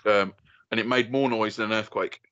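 A man's voice: lowish voice, steady tone, brisk, a bit bright, dry recording. A young man co-hosting a podcast, telling rambling, sweary stories and laughing often. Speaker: podcast co-host. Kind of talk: casual banter. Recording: dull lo-fi dry sound.

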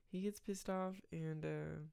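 A podcast host with a raspy, tired late-night voice, casually retelling a Bible story and praying, rambling with many fillers. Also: mid-range voice, darkish tone. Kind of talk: casual faith podcast with prayer.

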